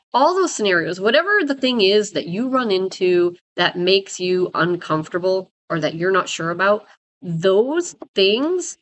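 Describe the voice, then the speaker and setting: Woman trainer, kinda raspy from a cold, blunt, keeps saying right? and guys. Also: lowish voice, fairly bright tone. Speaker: dog trainer. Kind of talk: casual advice talk to viewers.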